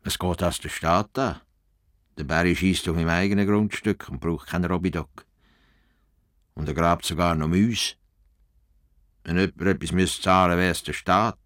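A man's voice: low voice, fairly even intonation, moderate pace, long pauses, a bit bright. A man playing a stubborn, eccentric older Swiss farmer and would-be world reformer in a radio play, with a Swiss German accent and a grumbling, self-important manner. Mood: grumbling, defiant. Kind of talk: radio play acting, muttered monologue and dictation.

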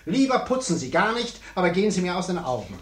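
An actor playing a pompous, irritable and easily flustered small-office boss in the manner of 1950s German radio comedy, nagging and impatient. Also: male voice, sits high, big pitch swings, fast. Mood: bossy, impatient. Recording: 1950s radio recording, noisy, clicks.

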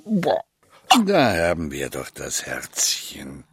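A man's voice: very deep voice, huge pitch swings, slow pace, fairly bright tone, slightly rough, no room sound, exaggerated voice. An actor playing a gleeful, sinister mad doctor in a comic audio drama, chuckling and savoring his phrases. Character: gleeful mad doctor operating on a terrified victim.

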